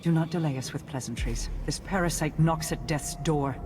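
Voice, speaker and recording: A woman acts an imperious queen in a dramatic game cutscene. She speaks in a measured, formal, commanding way, cold and resolute, with clear music playing behind her. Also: deep voice, natural voice.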